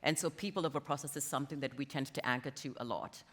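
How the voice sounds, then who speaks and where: A woman's voice: extremely low pitch, fairly even intonation, fast talker, a bit bright. A woman from Kenya speaking conversationally as an interviewee on a panel. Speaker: interviewee, company talent executive. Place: conference stage in a large hall. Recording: reverberant hall, stage event.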